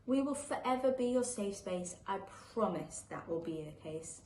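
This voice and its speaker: A woman's voice: mid-range voice, expressive, normal speed, a little mellow, distant mic, natural voice. A woman voicing a caring, loyal young friend in a children's storybook, earnest and reassuring in rhyme. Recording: echoey room with faint background noise.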